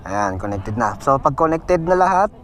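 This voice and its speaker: A man's voice: medium pitch, very animated, normal speed, a bit bright, a little hiss or hum. A Filipino man hosting a casual vlog, chatting and giving simple how-to instructions. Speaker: vlog host. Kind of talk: casual vlog chat and tutorial.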